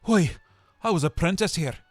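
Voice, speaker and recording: A man's voice: medium pitch, takes it slowly, darkish tone, soft background music, voice pushed up, natural voice. A man voicing an old male miser who exclaims eagerly while reading a storybook aloud. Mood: excited. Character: old male miser, excitable when moved.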